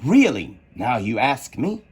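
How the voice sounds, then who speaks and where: A man's voice: mid-range voice, wildly swooping pitch, a little slow, darkish tone, breathy edge, full character voice. A man voicing a curious, outspoken little girl in a prim, forthright way for a storybook. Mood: indignant.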